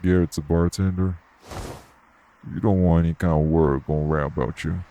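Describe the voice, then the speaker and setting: A man playing a gruff, blunt, unbothered club worker with streetwise slangy talk in an audio roleplay. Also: sits low, fairly even intonation, normal speed, warm tone, dull audio, a little hiss or hum. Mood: casual, teasing. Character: gruff, blunt, unbothered tough guy working at a nightclub. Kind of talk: audio roleplay acting addressed to the listener.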